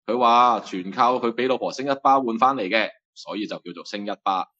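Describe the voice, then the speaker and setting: A man's voice: fairly low pitch, a little fast, sharp tone, lo-fi sound. A man with a Hong Kong Cantonese accent playing an easygoing, burly bartender who used to be a gangster, telling stories. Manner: easygoing, storytelling. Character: laid-back burly male bartender, ex-gangster. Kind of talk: Cantonese radio drama acting.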